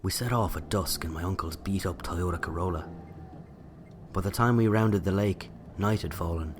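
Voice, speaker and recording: A man's voice: low-pitched, normal speed, a little hiss or hum. A man with an Irish accent narrating a scripted first-person story.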